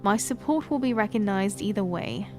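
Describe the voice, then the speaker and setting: Brief: actress as a withdrawn young queen, formal, mid-pitched. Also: lively intonation, music in the background, close to an everyday voice. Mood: guarded. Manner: measured, regal, formal. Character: reclusive young queen, guarded and weary. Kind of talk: audio drama acting.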